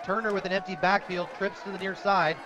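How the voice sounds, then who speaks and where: A man calling live football play-by-play on the radio in an energetic, excitable way. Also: sits high, a little fast. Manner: energetic, rises to shouts on big plays. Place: outdoor high school football stadium broadcast position. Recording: loud crowd and noisy outdoor stadium. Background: crowd noise.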